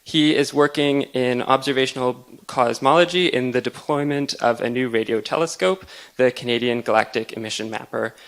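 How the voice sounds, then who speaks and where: A man introducing a guest speaker in a lecture room, polite with gentle teasing. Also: medium pitch, gravelly. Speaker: series co-chair introducing speaker. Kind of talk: event introduction.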